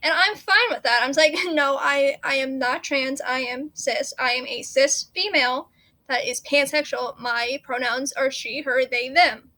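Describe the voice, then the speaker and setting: A woman with a high, bright voice talks casually and explains things patiently. She likes to add small fun facts as she goes. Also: a little slow. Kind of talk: casual chat.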